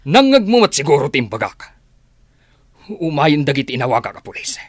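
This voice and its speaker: A man's voice: a little high, big pitch swings, a little fast, dry recording, clean studio sound. An actor with an Ilocano accent playing a stern, protective father in a radio drama, confronting and commanding. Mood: angry, commanding. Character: stern protective father ordering people out of his house.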